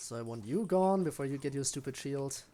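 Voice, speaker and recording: A game streamer with a medium-pitched voice commentates live play in a casual, unscripted way. They think aloud about the next move and address the enemies directly, as in a relaxed solo stream. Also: brisk.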